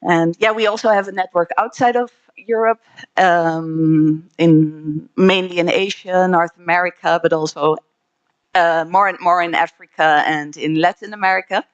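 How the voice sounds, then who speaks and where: A middle-aged woman from the Netherlands, giving a friendly, hesitant conference talk on a stage microphone, with many filler pauses. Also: on the low side, fairly even intonation, smooth, highs cut off. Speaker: conference speaker. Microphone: handheld microphone.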